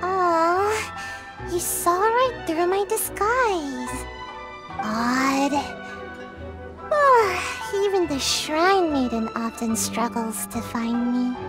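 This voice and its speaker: Small evasive ninja girl for a video game: drowsy, slow-paced, lilting high voice. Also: animated, music in the background, exaggerated voice. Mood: sheepish, resigned. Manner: drowsy, hesitant, sighing. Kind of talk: video game character dialogue.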